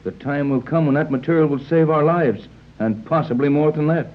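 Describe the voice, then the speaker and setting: An actor playing a shrewd, plain-spoken American captain in a 1930s radio adventure, blunt and matter-of-fact. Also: male voice, fairly high pitch, fairly quick, natural voice. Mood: blunt, determined. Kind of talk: 1930s radio serial acting. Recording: muffled, noisy old 1930s radio recording.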